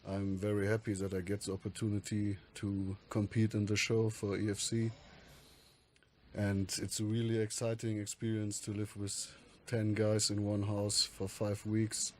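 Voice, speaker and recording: A man's voice: lowish voice, darkish tone, slight background noise. A man, a fighter, giving a fluent, matter-of-fact interview answer. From Germany. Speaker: MMA fighter guest.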